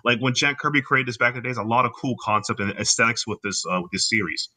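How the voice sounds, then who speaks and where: Man, Haitian accent, casual podcast chat, very bright tone, enthusiastic. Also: medium pitch, fast talker, slightly rough. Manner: enthusiastic, explains at length. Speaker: podcast co-host.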